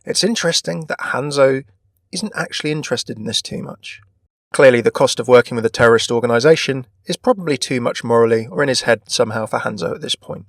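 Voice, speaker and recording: A man's voice: mid-range voice, fast, dry recording, clean studio sound. A man presenting a gaming video in a scripted narration style. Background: background music.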